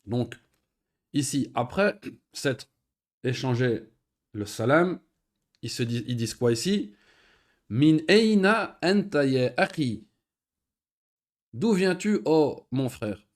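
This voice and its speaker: A man teaching an Arabic lesson in French. He reads each Arabic phrase and then explains it patiently, with pauses and fillers. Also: mid-range voice, expressive. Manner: patient, repeats words slowly with pauses and fillers. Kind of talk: recorded online lesson.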